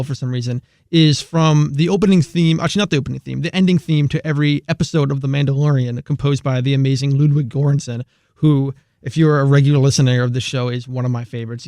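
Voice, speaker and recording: A man's voice: mid-range voice, a little mellow, no room sound. Male radio host, casual and enthusiastic, filler-heavy delivery, dry dead studio sound. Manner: loose, filler-heavy, enthusiastic about composers. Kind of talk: casual radio music chat.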